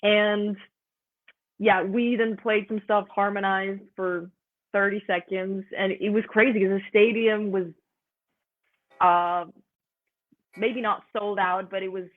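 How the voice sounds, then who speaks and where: A woman's voice: medium pitch, steady tone, takes it slowly, pauses often. Swiss-accented woman over a tinny line. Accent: Swiss German. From Switzerland. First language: Swiss German. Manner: chatty, laughs often. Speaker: guest musician. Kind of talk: casual interview chat.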